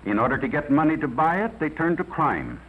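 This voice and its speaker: A man's voice: a little high, sing-song, a little fast, a little hiss or hum. An American man playing a grave, moralizing judge, narrating a 1950s propaganda film in an emphatic, preachy way with rhetorical questions. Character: grave, moralizing judge warning about narcotics. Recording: tinny, muffled 1950s film soundtrack.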